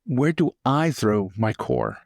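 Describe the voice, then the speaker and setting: A man's voice: mid-range voice, huge pitch swings, slow pace, gravelly, no echo. Male tabletop player, dry recording, casual improvised delivery in tentative, broken phrases.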